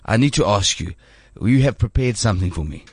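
A man's voice: fairly low pitch, a little fast, husky, dry recording. A man in his thirties hosting a show, talking in a rambling way with many restarts. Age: 30s. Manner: rambling, stumbling restarts, animated. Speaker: show host. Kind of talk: casual studio chat.

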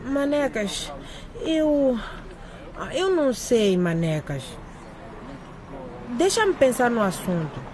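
A woman's voice: on the high side, big pitch swings, takes it slowly, long pauses, a little mellow. A woman acting a self-assured, easily exasperated teenage schoolgirl in a radio drama, firm and scolding. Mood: guarded, hesitant.

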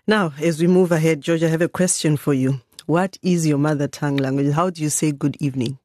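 A man's voice: a little high, fairly even intonation, a little mellow, slight background noise. A man hosting a radio news magazine from a studio, reading out listener comments. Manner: reads listener comments aloud, brisk and friendly. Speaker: radio host.

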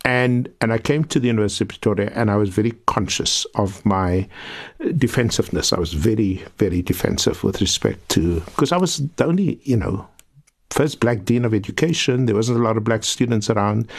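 Reflective South African man, low voice. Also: fairly quick. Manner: reflective, earnest storytelling with frequent restarts. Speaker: guest professor. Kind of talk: podcast interview.

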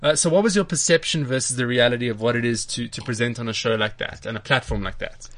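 A man's voice: medium pitch, fast talker, fairly bright tone. A man in his twenties from South Africa, hosting a live online talk show with a playful, joking manner. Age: 20s. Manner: playful, jokey, fond of repeating himself. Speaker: host.

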